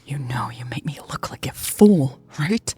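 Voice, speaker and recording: Woman reader as cold, angry, wounded woman, husky. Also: a bass voice, animated, a little slow, mellow, soft background music, exaggerated voice. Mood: angry, accusing. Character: angry, cold, wounded woman leader confronting her lover. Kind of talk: audio fiction dialogue reading.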